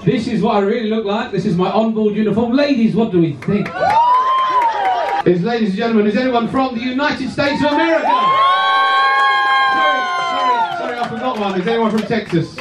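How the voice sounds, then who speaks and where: A man's voice: extremely high pitch, very animated, brisk, pauses often, very noisy. A man working as a cruise director, addressing a crowd through a handheld microphone with a jokey, showman-like flourish. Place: large lounge on a river ship, indoors. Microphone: handheld microphone, filmed from across the room. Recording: roomy lounge, far from camera, loud crowd. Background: loud crowd.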